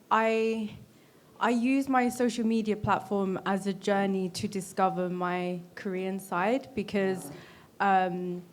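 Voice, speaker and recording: A woman with a British accent, speaking as a panelist in an earnest, explanatory and reflective way. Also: mid-range voice. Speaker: panelist, Korean cultural ambassador.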